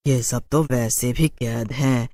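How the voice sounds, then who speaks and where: A man as a slow, drawling, sly city witch. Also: medium pitch, fairly even intonation, dark tone, no room sound, clean studio sound, pitched below the performer's own voice, lightly acted. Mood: scheming, smug. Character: sly, boastful city witch, woman, smug trickster. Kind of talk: cartoon horror story acting.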